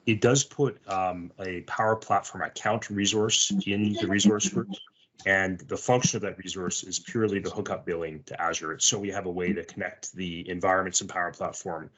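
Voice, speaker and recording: A man with a low-pitched voice answers a technical question as a product presenter. He explains things in a measured, matter-of-fact manner. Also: steady tone, a bit bright, a little hiss or hum, poor audio.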